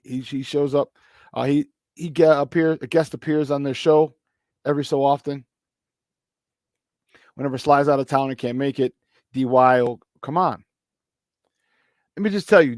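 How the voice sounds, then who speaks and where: A man chatting on a morning sports talk show, his voice slightly hoarse and pitched a bit high. He hammers his points with repetition and laughs between thoughts. The sound is dry and close to the mic. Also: a little mellow, no room sound. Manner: repetitive, emphatic, laughs often. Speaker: sports talk radio host.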